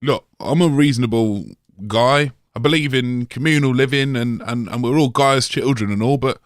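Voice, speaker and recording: Guy playing mellow stammery hippie squatter, dry close mic. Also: medium pitch, moderate pace, no echo, lightly acted. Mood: indignant. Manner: laid-back, hesitant, stammering. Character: dopey hippie man with mushrooms growing on his head.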